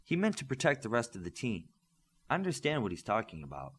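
A man's voice: medium pitch, huge pitch swings, fast, a little hiss or hum. Young superhero teammate read by a man: earnest, agreeable, varied intonation, audiobook dialogue. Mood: earnest, explaining.

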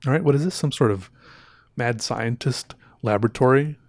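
A man's voice: mid-range voice, normal speed, a little mellow, dry recording. A man in his thirties with a slightly sore throat, chatting casually and rambling as he reviews viewer art on a headset mic in a small room. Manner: rambling, self-interrupting, chatty. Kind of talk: casual livestream commentary. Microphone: headset with boom mic.

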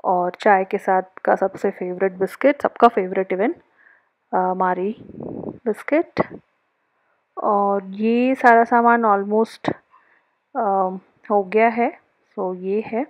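A woman in her thirties chatting casually at home about her monthly groceries, pausing often with fillers and slipping into English phrases. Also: medium pitch, poor audio. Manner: relaxed, mixes English phrases, many pauses and fillers. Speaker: vlogger. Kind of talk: casual grocery haul narration. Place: home, indoors.

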